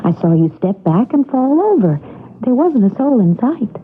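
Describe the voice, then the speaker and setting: A firm young wife, brisk and caring, bright lively voice, old radio play. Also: female voice, fairly high pitch, slight background noise. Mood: insistent. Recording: old radio broadcast, narrow band.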